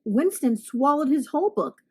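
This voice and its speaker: A woman with a fairly high voice reads a picture book aloud. Her delivery is expressive storytime narration, aimed at young children. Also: normal speed. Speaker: storybook reader, host.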